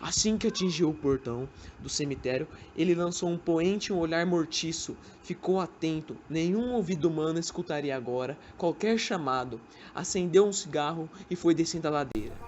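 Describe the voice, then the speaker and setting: A woman's voice: low-pitched, lively intonation, moderate pace, hardly any pauses, a little mellow. A woman reading scripted dramatic narration as the narrator of a student radio drama. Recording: dull amateur recording with faint noise.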